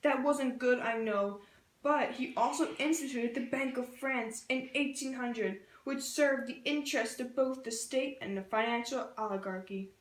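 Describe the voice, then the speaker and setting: A woman's voice: fairly high pitch, normal speed. A young woman, a student presenter, narrating history from a script as part of a two-person skit. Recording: roomy, echoey room sound.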